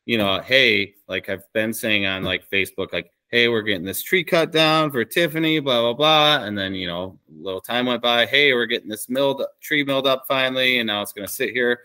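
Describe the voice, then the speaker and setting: A man's voice: mid-range voice, brisk, hardly any pauses, bright, forceful tone, smooth, no room sound. A man, perhaps in his thirties, chatting casually and candidly as an interview guest, rambling a little on a video call. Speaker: podcast guest, small business woodworker. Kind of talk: casual conversation. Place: small woodworking shop, indoors. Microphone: video call microphone.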